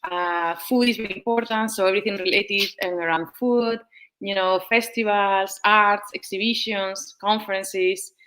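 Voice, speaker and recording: A woman with a bright, crisp voice speaks as a panel guest over a video call. She talks haltingly, often restarting as she searches for the right word. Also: mid-range voice, fairly even intonation, slight background noise, rough recording. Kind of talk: casual panel chat.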